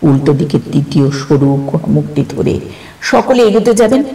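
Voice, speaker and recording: Male horror narrator, theatrical and suspenseful, mellow yet creaky, echoing recording. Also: on the high side, animated, moderate pace. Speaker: storyteller, narrator. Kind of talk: dramatic scripted horror narration. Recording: roomy, distant sound with music behind.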